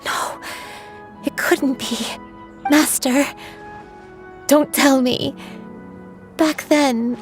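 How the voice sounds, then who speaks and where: Regretful female disciple, fairly high pitch, slow halting delivery, earnest, reflective, fantasy game drama. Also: steady tone, soft background music. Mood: shaken realization.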